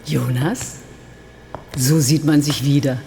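An actress playing a coolly sardonic urban guerrilla leader in a radio drama, self-assured, taunting and given to declaiming. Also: female voice, rumbling low, fairly even intonation, slow pace, gravelly, mellow, full character voice. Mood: proud, determined. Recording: long reverb of a large hall.

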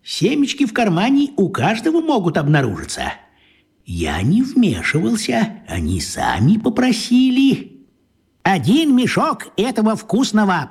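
An actor playing a small, crafty old wizard in a children's radio play, talking in a coaxing, folksy way with a husky voice. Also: male voice, high-pitched, a little mellow, heavily acted. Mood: sly, coaxing.

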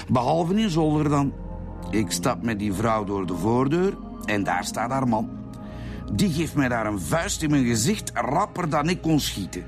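An actor playing a cold, menacing Flemish armed robber in a radio drama, matter-of-fact about violence. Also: male voice, mid-range voice. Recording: music behind the voice.

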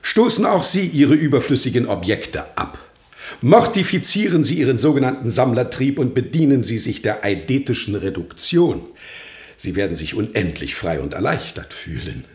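Casting: man as pompous ascetic thinker for radio drama. Aphoristic lecturer, highly varied intonation, roomy acoustic. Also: sing-song, moderate pace, a little mellow, lightly acted. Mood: lecturing. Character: eccentric, pompous male philosopher who renounced possessions. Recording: roomy, echoing sound.

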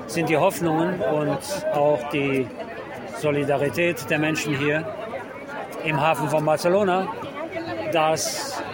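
A man giving a live radio report outdoors in a halting, descriptive way as he observes the scene. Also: on the high side. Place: outdoors at a busy city harbour. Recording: loud crowd and outdoor urban harbour noise. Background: large crowd chanting and applauding.

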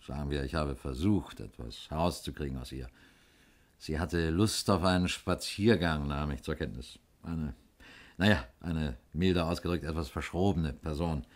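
An actor in a 1960s German radio play playing a dry, wry, matter-of-fact male police investigator who explains things deliberately. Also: rumbling low. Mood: wry, concerned.

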